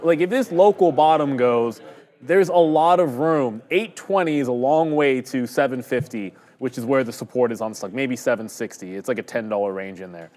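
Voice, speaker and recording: A man's voice: a little high, darkish tone. Chatty man doing live trading talk. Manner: rambling, conversational, self-deprecating. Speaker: day-trading stream host. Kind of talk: live market commentary.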